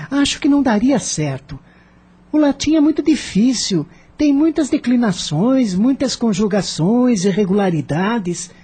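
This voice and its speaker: A woman's voice: on the high side, warm tone, husky. A woman playing an earnest, idealistic teenage schoolboy who thinks aloud and questions things, in a radio drama.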